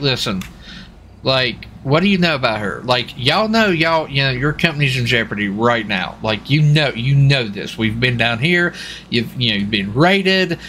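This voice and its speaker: A man's voice: a little high, sharp tone. Folksy man over vehicle noise. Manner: folksy, rambling, repeats himself. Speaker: live streamer playing a role-play game. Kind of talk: casual chat with viewers and players. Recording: clear background noise, vehicle sounds.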